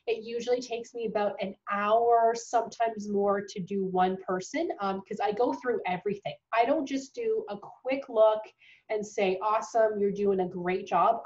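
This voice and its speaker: A woman's voice: medium pitch, a little mellow. A woman in her thirties with a cold, talking casually to camera from a bedroom, with lots of ums and restarts. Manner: chatty, many ums and restarts. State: sick with a cold, voice going. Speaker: dental hygiene tutor. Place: small bedroom at home. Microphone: webcam at desk distance. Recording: dull lo-fi webcam audio.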